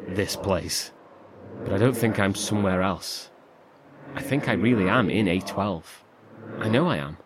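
A man playing someone trapped inside a rift who talks anxiously and earnestly about his brother. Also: fairly low pitch. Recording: noisy recording.

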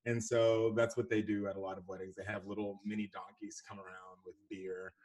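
A man's voice: on the low side, level tone, darkish tone. Chatty Texan man with a faint accent. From Houston, Texas. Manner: chatty, laughs often, quick asides. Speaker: podcast guest. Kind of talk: casual chat.

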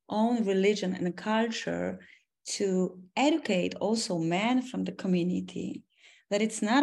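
A woman in her forties from Poland, an academic answering interview questions in a measured way, with frequent restarts and fillers. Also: lowish voice, creaky, darkish tone. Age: 40s. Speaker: academic and foundation president.

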